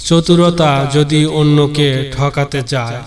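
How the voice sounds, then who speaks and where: A man's voice: on the high side, fairly even intonation, slow, soft background music. A man narrating a scripted cartoon moral story as its storyteller.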